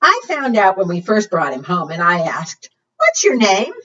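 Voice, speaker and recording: Expressive woman reading to preschoolers, distant mic. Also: mid-range voice, moderate pace. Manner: sing-song, asks young listeners questions. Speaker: children's librarian and storytime host. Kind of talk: read-aloud storytime for preschoolers. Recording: dull, lo-fi sound, distant mic.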